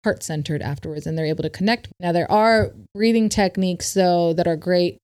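A woman on a podcast as a guest, explaining breathwork calmly in casual conversation, with easy laughter. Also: on the low side, fairly quick, smooth. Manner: calm, explanatory, laughs easily.

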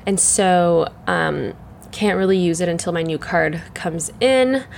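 A young woman hosting her solo podcast, chatting in a rambling way full of fillers, her voice croaky and congested from the morning. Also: lowish voice, measured pace, a little mellow, a little hiss or hum. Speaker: podcast host. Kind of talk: casual solo chat.

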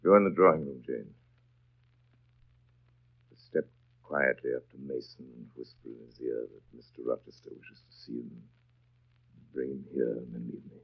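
Brooding lord of the manor, really deep, bossy n intense, old muffled 1930s radio sound. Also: male voice, fairly even intonation, normal speed, gravelly, long pauses, husky, exaggerated voice. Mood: troubled, intimate. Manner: imperious, abrupt, intense. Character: brooding, commanding male master of a country house. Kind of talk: 1930s radio drama acting. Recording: dull 1930s radio broadcast recording.

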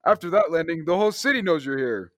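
A young man playing a brisk, take-charge space hero in a classic radio drama. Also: on the high side, animated, dry recording, studio quality, natural voice. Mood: friendly.

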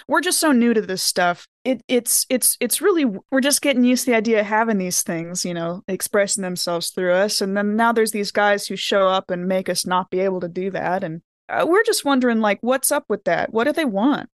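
A woman's voice: medium pitch, normal speed, no echo, clean studio sound. A woman playing a tabletop game, talking casually and a little tentatively in character. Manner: informal, tentative.

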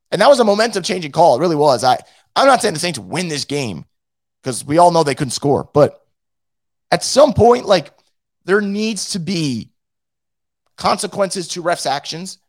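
Hoarse, lively-pitched man ranting. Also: no room sound, studio quality. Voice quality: blunt and profane, with many restarts. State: hoarse, losing his voice. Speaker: fan show host reading live chat. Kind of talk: casual post-game rant.

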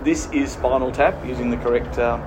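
A podcast host interviewing people on a pinball show floor, friendly and teasing. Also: male voice, mid-range voice, fairly even intonation, fairly quick, dull audio, background noise. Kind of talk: casual interview.

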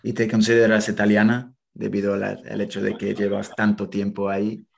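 Interviewer from London; very low, gravelly voice, short prompting questions. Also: completely flat delivery, a little fast.

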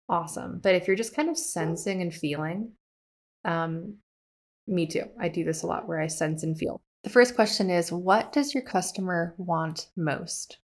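A woman hosting an art business podcast, talking casually to listeners with self-deprecating humor and upbeat emphasis. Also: lowish voice. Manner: chatty, laughs at herself, upbeat emphasis. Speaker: podcast host and art educator. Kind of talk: casual teaching monologue.

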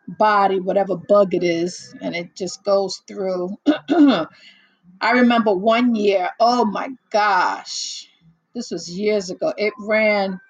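A middle-aged woman chatting slowly with viewers, her voice gravelly and a bit hoarse. She rambles warmly through fillers and little laughs. The sound is close and dry, with no room around it. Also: medium pitch, no room sound, muffled recording. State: losing her voice, slightly hoarse. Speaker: livestream host. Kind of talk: casual chat with live viewers.

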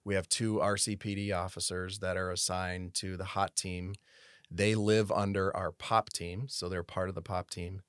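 A man in his forties from Massachusetts, a city services manager giving an unhurried, detailed interview with frequent pauses. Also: deep voice, flat delivery, smooth, dry recording, clean studio sound. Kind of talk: casual interview conversation.

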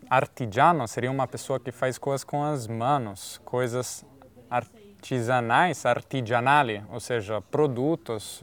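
Italian-accented man, non-native speaker, halting as he hunts for words. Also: mid-range voice. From Italy. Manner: searching for words, many restarts. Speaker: guest. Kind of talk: casual conversation.

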